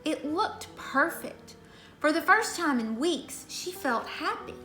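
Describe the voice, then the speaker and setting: A woman's voice: high voice, big pitch swings, takes it slowly, pushed and bright, roomy sound, soft background music. A woman reading a children's storybook aloud in a scripted narration style. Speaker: story reader.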